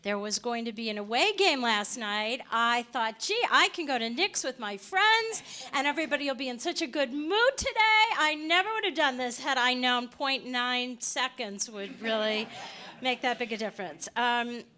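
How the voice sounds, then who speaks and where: Female presenter, bright and a bit high-pitched; chatty, jokes in asides. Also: animated. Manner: chatty and joking, quick asides. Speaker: panel presenter. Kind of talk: lively presentation talk.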